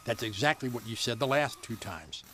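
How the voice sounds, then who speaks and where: A man's voice: mid-range voice, lively intonation, moderate pace, pushed and bright. An actor playing a stern older male military colonel in a radio drama, reprimanding a subordinate. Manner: stern, disappointed.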